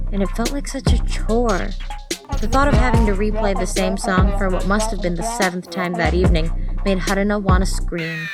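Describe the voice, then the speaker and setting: A woman reads a story as a scripted audiobook narrator. Her voice has a middling pitch, and music plays behind her.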